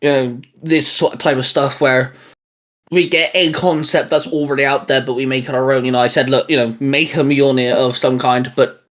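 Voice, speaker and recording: A man's voice: mid-range voice, steady tone, moderate pace, fairly bright tone. A young man co-hosting a casual geeky chat, rambling with lots of fillers and restarts. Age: young adult. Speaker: channel co-host.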